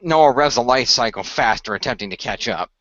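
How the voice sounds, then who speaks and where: Male game master, bright forceful voice, mid pitch, improvised narration, dry muffled recording. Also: expressive, a little fast. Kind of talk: improvised role-play narration and game talk.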